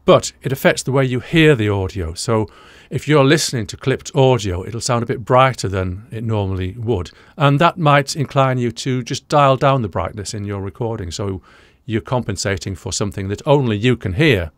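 A British man in his sixties presenting an explanatory audio commentary, deliberate and didactic, repeating key points, close to the microphone in a small studio. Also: medium pitch, no echo. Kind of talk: explanatory reaction commentary to camera. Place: small indoor studio room, dry.

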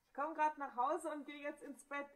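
Woman doing a high voiced sister, casual, kids audio drama. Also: fairly even intonation, normal speed, close to an everyday voice. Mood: teasing, sleepy. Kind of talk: German children's audio drama acting.

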